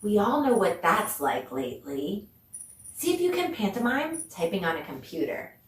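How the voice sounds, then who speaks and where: A woman teaching a children's online dance lesson, speaking in an encouraging, animated way with lots of questions and deliberate pauses. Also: mid-range voice. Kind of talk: children's online arts lesson, addressing viewers. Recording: echoey room, distant mic, faint noise.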